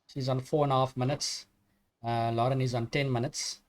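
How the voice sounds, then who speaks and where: A man from Africa, co-commentating a live online chess match, hesitant with many pauses, reading questions from the chat. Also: medium pitch, little pitch movement. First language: Afrikaans. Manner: hesitant, many ums, reads chat aloud.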